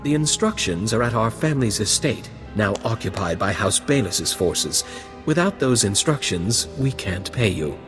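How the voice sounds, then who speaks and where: Droll, lively young fop of a lord man acting. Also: on the low side, normal speed, over music, lightly acted. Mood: aristocratic disdain. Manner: arch, drawling, wry. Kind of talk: video game voice acting.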